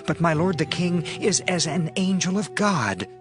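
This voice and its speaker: A loyal, humble prince, played by a male actor, addresses his king in a slightly high voice. He is deferential, speaking archaic scripture in a dramatized reading with music behind. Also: animated, normal speed, natural voice. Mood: pleading. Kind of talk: dramatized Bible audiobook acting.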